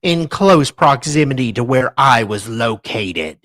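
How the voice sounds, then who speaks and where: A man voicing a grand, haughty, aggrieved lady in a pompous, drawn-out, mock-dramatic reading of a sworn legal declaration. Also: mid-range voice, animated, a bit bright, no echo, studio quality. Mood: grandly accusing. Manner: pompous, theatrical, drawn-out delivery.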